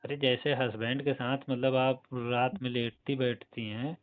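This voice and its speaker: A man acts out a local doctor in a romantic call drama. His voice is smooth and clear at an average male pitch. He talks colloquially and reassuringly, with a sly, suggestive edge. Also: little pitch movement, moderate pace, phone-quality sound, natural voice. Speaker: doctor advising a patient. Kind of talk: staged romantic phone-call roleplay.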